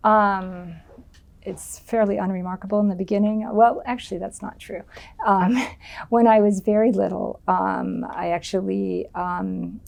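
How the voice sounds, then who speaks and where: A woman's voice: mid-range voice, steady tone, a little slow, vocal fry, darkish tone. A middle-aged American woman giving an oral history interview, speaking thoughtfully with many ums and restarts. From United States.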